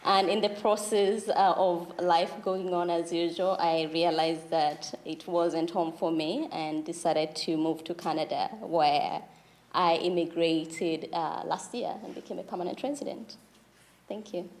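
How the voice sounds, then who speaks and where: Woman, from Kenya, consultant, measured, slightly low voice. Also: steady tone, darkish tone. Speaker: consultant, panelist.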